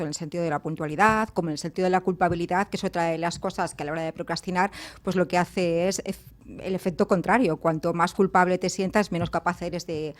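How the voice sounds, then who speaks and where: A woman guest on a radio panel, speaking hesitantly and repeating words as she describes children's courses. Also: fairly low pitch. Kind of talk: radio talk-show discussion. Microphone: studio microphone, at first too far.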